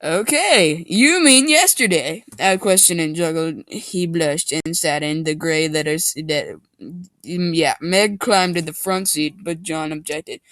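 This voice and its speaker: A man's voice: fairly high pitch, steady tone, a little slow, a bit bright, no room sound. A man giving a mock dramatic reading of amateur fiction, goofy and theatrical, often breaking off to comment. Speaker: reader and commentator.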